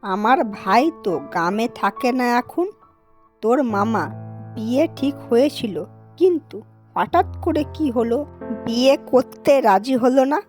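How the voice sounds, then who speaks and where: A homely village mother , played by a woman in a cartoon, with a fairly high voice. She is caring yet quick to chide, and speaks expressively over light music. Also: lively intonation, darkish tone. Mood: wistful. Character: village mother, caring but scolding, fond sister. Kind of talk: cartoon dialogue acting.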